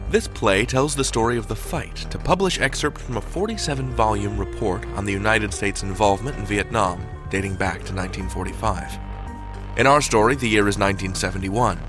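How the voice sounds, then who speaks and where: A man's voice: on the low side, a little fast, over music. A man hosting a podcast, reading a scripted introduction with wry, dramatic emphasis. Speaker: podcast host.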